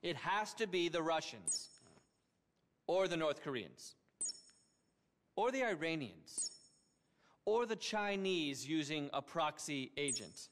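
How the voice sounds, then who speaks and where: Pompous tech billionaire in a game, high voice, swoopy keynote delivery, petulant, big echoey hall. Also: male voice, big pitch swings, takes it slowly, long pauses, fairly bright tone, heavily acted. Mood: urgent, grandiose. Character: eccentric, self-important tech billionaire man, petulant and grandiose. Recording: reverberant hall sound.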